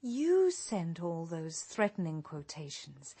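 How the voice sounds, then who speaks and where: A woman's voice: lowish voice, big pitch swings, slow pace, warm tone, husky, heavily acted. An actress playing a young English woman detective in a radio drama, earnest and intent as she questions and accuses. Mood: cool, accusing. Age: young adult. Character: young woman private detective, earnest, probing, morally shocked.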